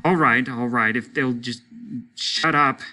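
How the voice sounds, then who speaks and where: A middle-aged father speaks with stern, exasperated firmness, as a male reader performs him. His tone is bright and forceful, and his statements are clipped and final. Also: medium pitch, measured pace. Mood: firm, exasperated. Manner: firm, exasperated, decisive.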